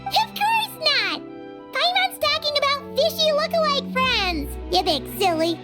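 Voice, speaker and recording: Squeaky, excitable tiny fairy girl. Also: a little slow, exaggerated voice. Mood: teasing. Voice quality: squeaky, childlike. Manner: perky, speaks of self in third person. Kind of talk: anime video game voice acting. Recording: music behind.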